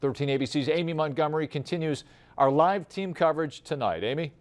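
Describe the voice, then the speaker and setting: A man's voice: fairly high pitch, fairly quick. American male news anchor over music. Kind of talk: scripted local news anchoring.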